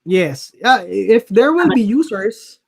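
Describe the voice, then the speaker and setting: A Filipino man with a notably high voice for a man, answering webinar questions with a Filipino accent. He talks haltingly, full of "uh"s, and drifts between English and Tagalog. It sounds like a video call. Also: very animated, vocal fry. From Philippines. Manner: hesitant, many fillers, mixes English and Tagalog. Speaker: guest speaker.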